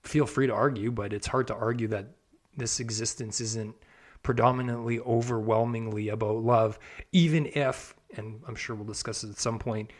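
A man co-hosting a casual podcast, musing reflectively in halting phrases. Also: medium pitch, steady tone. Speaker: podcast co-host. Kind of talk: casual chat.

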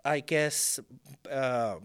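A man in his thirties from Puerto Rico, a native Spanish speaker, talking as a podcast guest about his design work, thoughtful and pausing with many ums. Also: mid-range voice, steady tone, a bit bright, clear, resonant tone. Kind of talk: casual interview talk.

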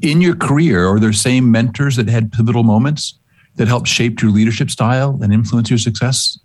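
A man with a middle-pitched voice conducts an interview. He speaks in a conversational register as he poses questions to his guest. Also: normal speed.

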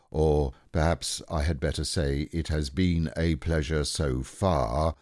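A man's voice: a bass voice, a little mellow, no echo, clean studio sound, heavily acted. A man voicing a gloomy, self-important donkey who speaks in a pompous, stern, ponderous manner.

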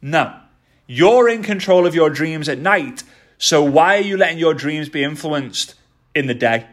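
A man's voice: fairly high pitch, expressive, measured pace, fairly bright tone. A man in his thirties hosting a motivational podcast, speaking with energy and repeating key phrases for emphasis. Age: 30s. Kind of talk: motivational talk.

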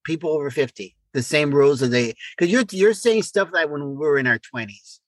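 A man's voice: on the high side, expressive, fairly quick, no echo. A middle-aged man co-hosting a podcast in a casual chat, inquisitively prompting with questions. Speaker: podcast co-host.

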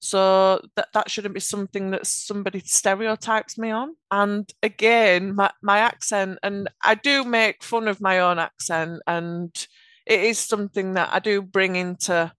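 A woman with a Yorkshire accent talks through her career in earnest, measured answers. Her voice is smooth and resonant. The recording is close and dry, with no room sound. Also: medium pitch, steady tone, a bit bright, no echo. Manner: earnest, measured explanations with occasional restarts. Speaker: podcast guest, automation architect. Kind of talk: conversational interview answers.

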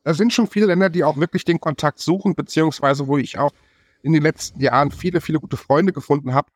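A man from a national chefs' association explaining in a podcast conversation, listing things in a brisk, enthusiastic way. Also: fairly high pitch, no room sound, clean studio sound. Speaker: chef association representative.

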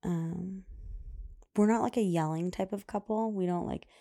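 A woman in her thirties chatting casually on her own podcast, rambling with lots of fillers, laughing often, with a loud voice. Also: medium pitch, moderate pace, no room sound, studio quality. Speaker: podcast host. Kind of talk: casual solo podcast chat.

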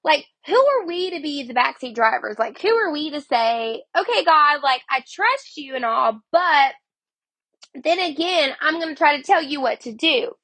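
Woman, high voice, sniffly from a cold, chatty devotional host, dry close sound. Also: animated, moderate pace, fairly bright tone, no echo, low-quality recording. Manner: chatty, heavy use of "like". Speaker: podcast host. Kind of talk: casual devotional talk.

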